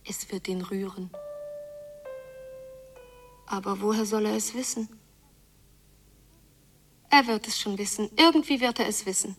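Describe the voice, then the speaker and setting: A woman playing a yearning, stubborn young girl in a radio drama, speaking breathily in an intense, halting, hushed way. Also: mid-range voice, recorded from a distance, faint music behind. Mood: hopeful, secretive. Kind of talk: radio drama inner monologue, often whispered.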